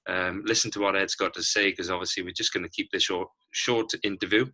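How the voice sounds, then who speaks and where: Deep, bright-voiced male interviewer. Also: fairly even intonation, fairly quick. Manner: wordy, encouraging, repeats phrases. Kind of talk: casual interview.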